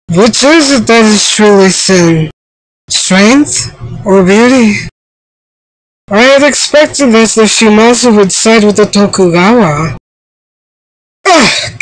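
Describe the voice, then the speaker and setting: A woman voicing a proud, stern young samurai warrior woman for a video game, with formal, haughty delivery on an amateur microphone. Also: dry recording. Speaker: amateur voice actor. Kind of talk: video game character voice reel. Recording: low-quality amateur microphone.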